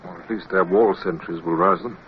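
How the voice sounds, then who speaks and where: An eager young British naval lieutenant played by a man in a radio adventure. He is earnest and a little hesitant, with a deep voice. The sound is dull, with clear background noise. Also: fairly even intonation, a little fast, lightly acted. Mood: nervous, dutiful. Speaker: actor in a radio drama. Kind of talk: radio drama acting. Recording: muffled, noisy old radio recording.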